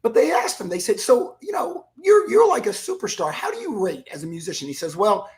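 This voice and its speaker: Energetic man with a froggy voice. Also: high-pitched, brisk, slight background noise. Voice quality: froggy, rough voice. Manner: energetic storyteller who acts out quotes. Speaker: guest, keynote speaker and author. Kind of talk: animated interview talk.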